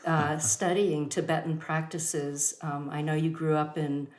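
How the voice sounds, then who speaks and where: Host: woman, very low voice, slow and halting with fillers, echoey room. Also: little pitch movement. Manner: warm introductions with many fillers. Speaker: host of a yoga studio talk. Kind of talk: hosting an online talk.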